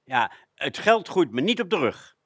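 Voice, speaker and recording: A man's voice: a little high, big pitch swings, normal speed, a bit bright, husky, dry recording, clean studio sound. A man telling anecdotes as a presenter on a local radio show.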